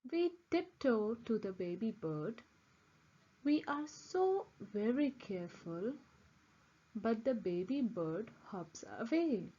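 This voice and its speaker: A woman reads a children's storybook aloud in a lo-fi recording. Her delivery is unhurried and deliberate, with big, expressive swings of pitch. The phrasing is simple, made for young listeners. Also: husky. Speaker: children's book reader.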